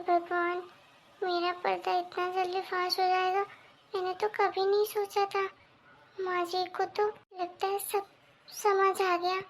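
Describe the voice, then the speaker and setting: A woman playing a scheming young wife who acts meek and innocent in an animated family melodrama. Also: extremely high pitch, measured pace. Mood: secretly anxious.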